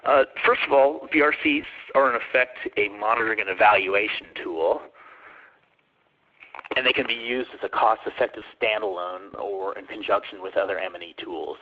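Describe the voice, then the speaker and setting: A man's voice: lowish voice, normal speed. Hesitant man on a tinny line. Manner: hesitant, many ums and uhs. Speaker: webinar presenter. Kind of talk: answering questions in a webinar. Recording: tinny phone-line audio.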